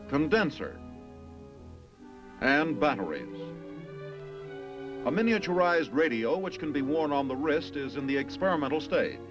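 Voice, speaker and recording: An American man reading formal, emphatic scripted narration in the manner of a 1950s military documentary, with measured phrasing. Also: fairly high pitch. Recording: dull vintage film soundtrack with music.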